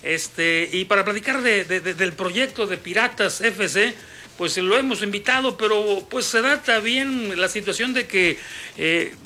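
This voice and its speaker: A man's voice: sits high, unhurried, bright, forceful tone, slight background noise. A man hosting a sports radio interview, chatty and rambling with many fillers.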